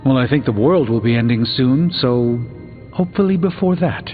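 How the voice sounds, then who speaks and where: An actor playing a cautious, scholarly male sorcerer in a video game, measured and articulate, with uneasy dry wit. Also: medium pitch, lively intonation, natural voice. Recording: muffled narrow-band sound with long reverb. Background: music.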